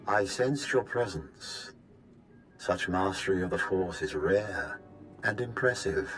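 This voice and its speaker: Deep, imperious Sith lord for a game, ruthless and measured; very low register, faint score underneath. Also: male voice, expressive, a bit bright, exaggerated voice. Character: commanding Sith lord, ruthless male officer. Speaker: video game character. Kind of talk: game voice acting. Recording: game audio with music behind.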